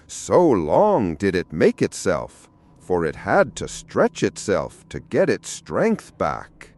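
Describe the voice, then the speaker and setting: Low-voiced, highly expressive male storyteller. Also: slow pace. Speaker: audiobook narrator. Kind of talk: expressive fairy tale storytelling.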